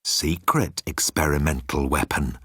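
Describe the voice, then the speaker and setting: Man acting a sardonic old alien commander, deep, slow, pompous, crooning menace, sci-fi audiobook villain, dry recording. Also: fairly bright tone, slightly rough, no room sound, heavily acted. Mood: crafty, smug.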